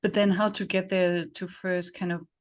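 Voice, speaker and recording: A woman's voice: lowish voice, flat delivery, normal speed. A woman with a German accent, a native German speaker, chatting reflectively as an interview host and searching for her words. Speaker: podcast host and facilitator. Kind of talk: conversational interview chat.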